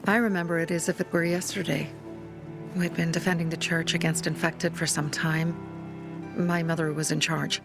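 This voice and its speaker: An actress playing a resolute, hardened young survivor leader in a video game, earnest and measured. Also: female voice, fairly low pitch, flat delivery, gravelly, music in the background. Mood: somber recollection. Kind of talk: naturalistic video game acting.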